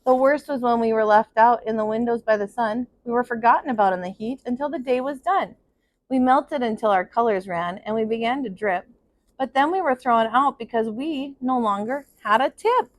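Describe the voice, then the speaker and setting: A woman reading a children's picture book aloud in an expressive kindergarten teacher's style. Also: a little high, moderate pace. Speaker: kindergarten teacher, storybook reader.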